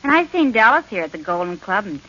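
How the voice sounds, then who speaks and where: An actress playing a brassy, flirtatious gangster's moll in a 1940s radio drama, with slangy, cooing, uneducated speech. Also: female voice, medium pitch, big pitch swings, quick pace, bright, forceful tone. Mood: flirtatious flattery. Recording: hissy muffled old radio recording.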